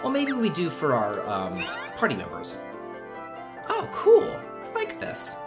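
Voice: medium pitch, wildly swooping pitch, unhurried. A chatty, playful let's play host giving casual, exclamatory commentary on a video game. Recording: game music behind the voice. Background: video game music.

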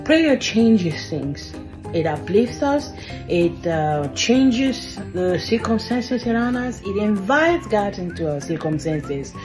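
A woman's voice: mid-range voice, animated, takes it slowly, over music. A woman giving an earnest kids' lesson, filmed on a phone at arm's length indoors. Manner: earnest, with frequent fillers. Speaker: kids ministry teacher. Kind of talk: earnest teaching talk.

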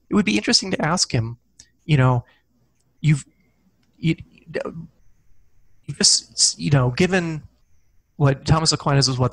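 A man's voice: medium pitch, dry recording, poor audio. A man in his forties, an author guest on a video call, talking casually with many ums and restarts through a headset mic in a small office. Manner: hesitant, many ums and restarts, gestures with hands. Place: small office with corkboard, indoors.

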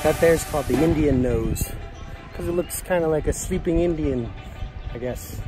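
A man's voice: a little high, moderate pace. A man in his forties filming himself on a phone outdoors, chatting easily about his trip and laughing now and then. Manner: easygoing, laughs while narrating. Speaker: vlogger. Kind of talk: casual travel vlog narration. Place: outdoors on a lake and in woods. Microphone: phone held at arm's length. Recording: music playing underneath. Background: music.

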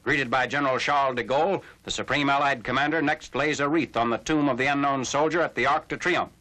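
A man narrating a scripted 1940s military newsreel in a formal, measured announcer's delivery. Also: mid-range voice, fairly even intonation, bright, forceful tone. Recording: noisy old 1940s film soundtrack.

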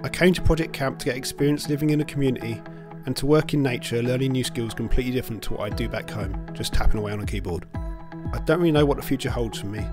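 A man's voice: mid-range voice, quick pace, music in the background. A man in his thirties introducing himself in a matter-of-fact way. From United Kingdom. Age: 30s. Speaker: volunteer.

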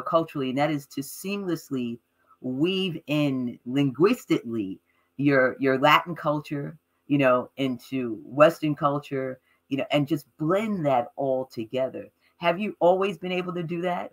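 A woman's voice: deep voice, moderate pace, a bit bright. A woman hosting a live interview over a video call, speaking with enthusiasm and emphasis. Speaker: host. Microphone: webcam on a video call.

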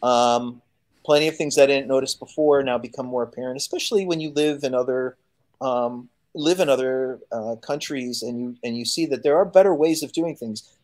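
A man's voice: mid-range voice, normal speed. A man in his fifties from Chicago, telling stories as an interview guest over a video call, acting out quoted voices with lots of fillers. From Chicago, United States. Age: 50s. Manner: animated storyteller who acts out quoted voices, many fillers. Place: home room.

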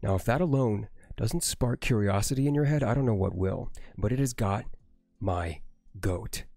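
A man voicing an earnest, awkward, obsessive young male anime character who explains things haltingly. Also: on the low side, vocal fry, dark tone, dry recording, studio quality, faint music behind. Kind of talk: improvised anime character skit.

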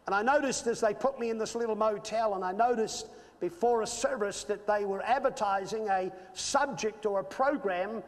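A man's voice: high voice, normal speed. A man in his fifties with an Australian accent, preaching a sermon and telling a personal story, earnest and building to emphatic peaks. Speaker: pastor. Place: church stage with screen. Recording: reverberant church hall.

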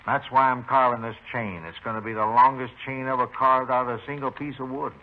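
An actor playing a talkative, eccentric older widowed neighbor in a 1950s radio drama, rambling and hesitant in a folksy way. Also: male voice, medium pitch, steady tone, natural voice. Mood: eager, proud. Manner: rambling, unhurried. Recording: tinny, muffled 1950s radio recording, faint noise.

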